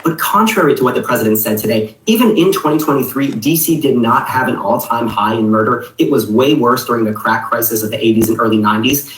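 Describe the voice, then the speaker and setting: A man's voice: mid-range voice, quick pace, few pauses, fairly bright tone, reverberant, distant mic, rough recording. A man delivering a news fact-check in a broadcast style.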